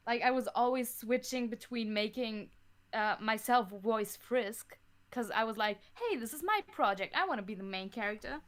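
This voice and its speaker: A young woman with a fairly high voice talks casually as a guest in an interview. She is hesitant and tends to ramble as she works out her answers. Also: moderate pace. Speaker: podcast guest, creator of an audio drama.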